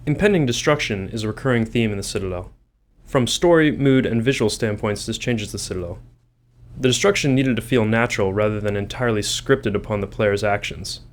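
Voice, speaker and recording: Lowish-voiced man reading developer commentary. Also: fairly quick. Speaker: game developer giving commentary.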